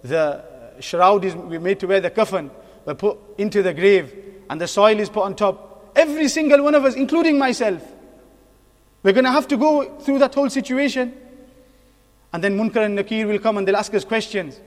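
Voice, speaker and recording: High-pitched male preacher in an echoing hall. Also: moderate pace. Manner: earnest, exhorting with repeated phrases and rhetorical questions. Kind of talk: sermon. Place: mosque hall. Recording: long echoing hall reverb.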